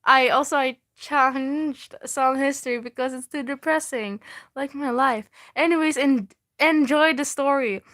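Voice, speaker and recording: A young woman on a live stream reads her old fan fiction aloud, stopping to mock it with swearing asides and broken-off sentences. Her voice is bright and sits a little high, and she takes her time with every line. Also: measured pace. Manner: self-mocking, swears, laughs often, breaks off mid-sentence. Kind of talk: casual livestream reading with asides.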